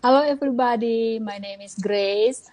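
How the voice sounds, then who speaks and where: A woman from Indonesia introducing herself on a video call, excited and happy. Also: a little high, dry recording. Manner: excited, quick bursts. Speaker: quiz participant. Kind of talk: casual self-introduction.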